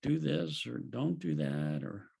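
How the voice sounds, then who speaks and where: A man leading a group video call as a spiritual teacher, speaking in a gentle, unhurried way and laughing softly now and then. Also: medium pitch, sing-song, creaky, breathy edge. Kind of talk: reflective teaching talk.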